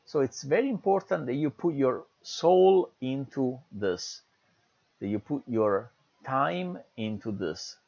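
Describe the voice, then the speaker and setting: A man's voice: mid-range voice, highs cut off. Enthusiastic Italian-accented male lecturer. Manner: enthusiastic, encouraging, many fillers. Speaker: university lecturer. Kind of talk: online lecture. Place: at home.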